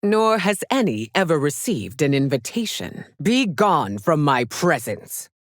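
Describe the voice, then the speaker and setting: A woman's voice: sits low, very animated, no room sound, studio quality, heavily acted. A woman playing a proud, stern warrior empress in a fighting video game, speaking in a formal, commanding, scornful manner. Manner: imperious, formal, measured, commanding. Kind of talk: theatrical video game character lines.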